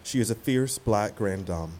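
A man narrating stage directions for a radio play in measured, declarative sentences. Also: lowish voice, dark tone, close to an everyday voice. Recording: some background noise.

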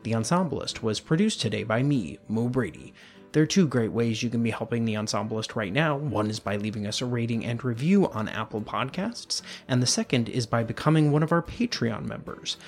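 A male podcast host with a mid-pitched voice reads scripted links and credits. Soft guitar music plays behind him. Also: expressive, a little fast. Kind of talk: scripted podcast introduction and outro. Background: music bed with guitar.

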